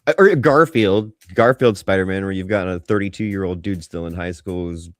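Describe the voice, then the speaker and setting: A man's voice: deep voice, moderate pace, dry recording. A man co-hosting a podcast with casual, opinionated banter, blunt and free with swearing, acting out his own reactions as quotes. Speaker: podcast co-host.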